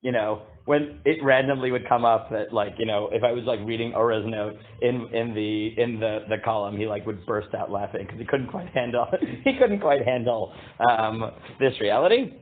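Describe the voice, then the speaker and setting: A man leads a casual Torah class in a booming voice. He halts and restarts often, reading Hebrew text aloud before translating it. The sound is thin and tinny, like a phone line. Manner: halting, many fillers and restarts. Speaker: rabbi teaching a class.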